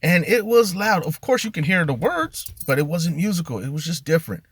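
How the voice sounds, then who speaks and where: Middle-aged man, slightly high pitch, casual vlog rant, conversational, emphatic on key words, dry sound. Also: very animated, brisk, a bit bright, breathy edge, no echo. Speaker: car audio video host.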